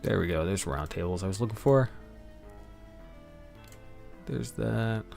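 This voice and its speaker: A man's voice: low voice, lively intonation, quick pace, creaky, darkish tone, soft background music. A man streaming his game prep in a casual way, rambling to himself as he works. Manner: rambling self-talk while working. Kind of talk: casual thinking-aloud stream commentary.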